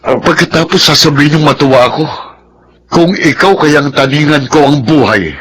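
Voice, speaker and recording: Guy acting as dramatic aggrieved patient, bright forceful voice, old-school radio drama, slight noise. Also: on the high side, moderate pace, close to an everyday voice. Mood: outraged. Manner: forceful, emphatic. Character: aggrieved male patient, hot-tempered and dramatic.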